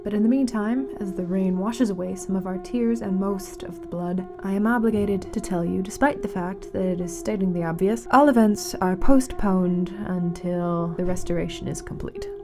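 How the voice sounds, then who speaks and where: A woman playing a grave, mournful radio announcer in a fiction podcast, speaking solemnly and dramatically with weighted pauses. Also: mid-range voice, over music, natural voice. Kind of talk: scripted fiction-podcast narration as a community radio broadcast.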